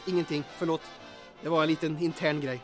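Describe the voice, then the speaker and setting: Faint music sits under a man acting as a macabre corpse keeper in a radio play. His voice is high, defensive and apologetic, with a morbid streak. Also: little pitch movement, natural voice. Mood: defensive, sheepish. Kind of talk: audio drama acting.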